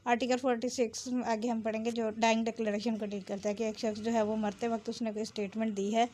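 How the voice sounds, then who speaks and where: A woman giving an explanatory law lecture, switching between Urdu and English and reading statutes haltingly before explaining them. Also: a little high, little pitch movement, a little mellow. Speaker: law teacher.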